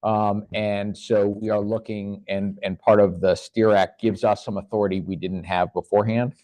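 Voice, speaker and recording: A man with a fairly low voice speaks as a government official at a neighborhood meeting. He answers in an earnest, measured way and sometimes restarts his sentences. The sound is close and dry. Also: level tone, darkish tone, no echo. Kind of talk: answering questions at a public meeting.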